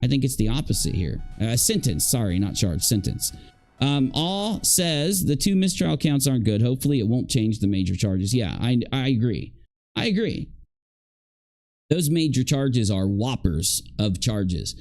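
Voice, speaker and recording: A man hosts a casual livestream in a middling-pitched voice. He rambles along, reads bits aloud and breaks off into jokey, grumbling asides. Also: big pitch swings, moderate pace, a little mellow, faint music behind. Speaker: livestream host and commentator.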